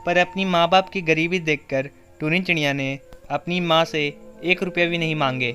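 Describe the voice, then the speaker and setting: A man's voice: a little high, fairly even intonation, normal speed, pushed and bright, faint music behind. A man narrating a scripted children's story as the narrator of a cartoon.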